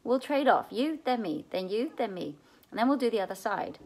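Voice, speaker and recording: A woman's voice: a little high, sing-song, a little fast, creaky, darkish tone, pitched above the performer's own voice, exaggerated voice. A woman voicing a practical, determined young girl giving urgent instructions in a storybook adventure. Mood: bossy, urgent.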